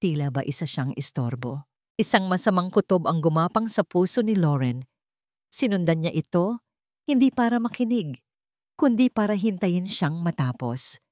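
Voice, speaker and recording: Woman narrating a dramatic audiobook, lowish voice, really dry sound. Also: a little mellow, no echo. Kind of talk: scripted dramatic story narration.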